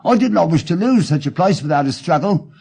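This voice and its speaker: Casting: man playing indignant but deferential English shopkeeper for an audiobook; high, very animated, emphatic and plaintive. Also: a little fast, gravelly, breathy edge, exaggerated voice. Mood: earnest, plaintive. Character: aggrieved, simple male London tradesman, earnest and indignant. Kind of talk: dramatic audiobook character reading.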